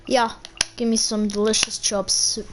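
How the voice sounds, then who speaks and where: A woman's voice: fairly high pitch, background noise. A woman doing casual commentary on a game playthrough, with terse, repetitive reactions. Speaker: gaming streamer.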